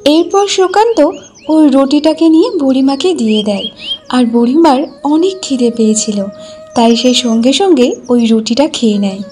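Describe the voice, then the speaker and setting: Female narrator, scripted folk tale, high-pitched, mellow, faint background music. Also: normal speed.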